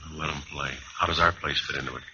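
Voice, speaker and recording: A man acting a cynical, world-weary cornet player in a radio noir, with clipped, deadpan, wisecracking delivery. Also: extremely low pitch, quick pace, full character voice. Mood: cool, dismissive. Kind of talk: 1950s radio noir acting, hard-boiled narration. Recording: dull, lightly hissy old radio recording.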